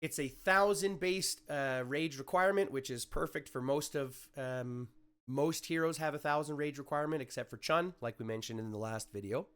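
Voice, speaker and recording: A man with a slightly raspy voice, still getting over an illness, walking viewers through a strategy game in a chatty way, often correcting himself with fillers. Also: a little high, normal speed, fairly bright tone. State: recovering from illness. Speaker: gaming YouTuber. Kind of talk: casual game guide commentary.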